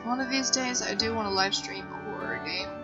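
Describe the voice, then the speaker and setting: A woman's voice: medium pitch, fairly quick. A woman game streamer chatting casually to her viewers in a scattered, self-deprecating way. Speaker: game livestreamer. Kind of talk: casual livestream chat. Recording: dull lo-fi mic, music behind. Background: background music.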